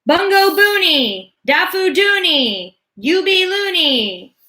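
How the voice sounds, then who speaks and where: A woman's voice: very high-pitched, big pitch swings, slow pace, sharp tone, voice pushed up, full character voice. A woman voicing an eccentric male inventor who screams nonsense words in a frenzied, unearthly way in a storybook reading. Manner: frenzied shrieking.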